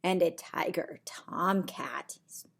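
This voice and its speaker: A middle-aged woman reading a picture book to young children in a deliberate, sing-song way, with simple asides, recorded in a room at home. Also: lowish voice, vocal fry, fairly bright tone, whispered. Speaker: preschool teacher reading aloud. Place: small indoor room at home.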